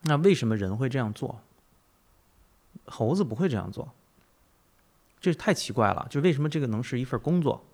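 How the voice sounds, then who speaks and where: A man's voice: mid-range voice, lively intonation, fairly quick, pauses often, a little mellow. A man hosting a podcast, musing philosophically in conversation, emphatic, with repetition and rhetorical questions. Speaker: podcast host.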